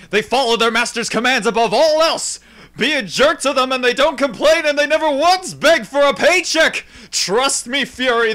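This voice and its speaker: Male actor as smug military officer, anime dub, suave, grandiose, commanding, bright projection. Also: very high voice, no echo, pitched above the performer's own voice, full character voice.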